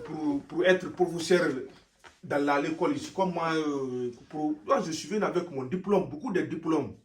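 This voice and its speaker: An actor playing a boastful man newly arrived from abroad who wants to be a teacher, speaking halting broken French with many stammers. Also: on the high side, slight background noise, natural voice. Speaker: sketch comedy actor. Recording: roomy sound, from some distance.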